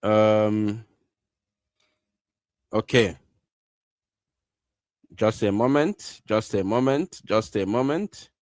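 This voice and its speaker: A man's voice: fairly low pitch, drawn-out words, long pauses, darkish tone, no echo. A man hosting a live online broadcast, talking to listeners in an urgent, insistent way and repeating his phrases.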